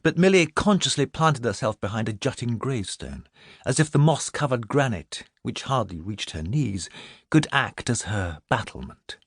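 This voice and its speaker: Expressive, husky male audiobook narrator. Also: medium pitch, moderate pace, no echo, studio quality. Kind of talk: dramatic scripted narration.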